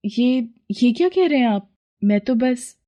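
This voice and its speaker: Woman as a humble young cartoon wife, soft, meek, mellow tone. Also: on the high side, animated, a little slow, highs cut off, voice pushed up. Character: humble, patient young wife from a poor family.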